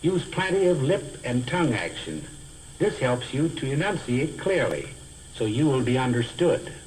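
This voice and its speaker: A man's voice: medium pitch, sing-song, a little mellow, low-quality recording. Man, speech teacher, very varied intonation, careful enunciation, scripted lecture, hissy old film soundtrack. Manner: deliberate, carefully enunciated, didactic.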